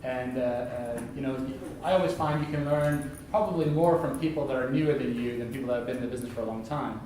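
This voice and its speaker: A man's voice: medium pitch, fast talker, a little mellow. A man from Sweden hosting a business event, introducing and interviewing a speaker with many fillers. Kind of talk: stage interview. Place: large echoey event hall. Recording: echoey hall, distant mic, faint hiss.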